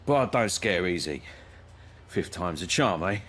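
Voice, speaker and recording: An actor playing a battered but stubborn boxer in a video game, in pain and short of breath, speaking ruefully. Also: male voice, deep voice, expressive, a little slow, a bit bright, faint music behind. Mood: stubborn, weary.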